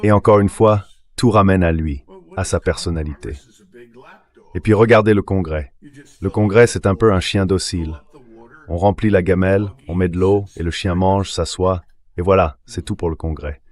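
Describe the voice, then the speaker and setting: A man's voice: sits low, steady tone, moderate pace. A man's synthetic dubbing voice giving political commentary as an interview guest.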